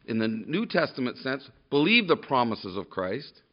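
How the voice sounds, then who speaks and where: A man preaching a sermon to a congregation, loud and emphatic, often restarting his sentences. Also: mid-range voice, big pitch swings, normal speed. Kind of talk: sermon with scripture reading. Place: church room with a congregation. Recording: dull lo-fi sermon recording.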